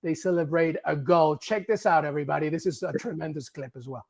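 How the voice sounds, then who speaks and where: A male sports show host from Peru, a native Spanish speaker, with a fairly high voice. He interviews his guest in an upbeat, enthusiastic way, full of praise and quick questions.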